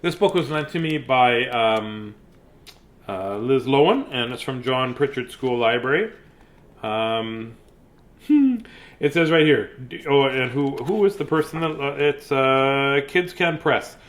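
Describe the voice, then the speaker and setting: A man's voice: medium pitch, animated, measured pace. A man hosting an informal children's read-aloud, playful and rambling, often breaking off to comment on the book. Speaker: children's story reader and host.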